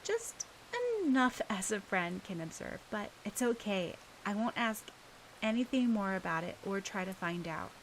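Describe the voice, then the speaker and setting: A woman's voice: mid-range voice, moderate pace, close to an everyday voice, poor audio. A woman voicing an emotional, caring schoolgirl in an audiobook story, with noise behind her. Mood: gentle, understanding. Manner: stammering when upset. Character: emotional schoolgirl, indignant, tearful, fiercely caring.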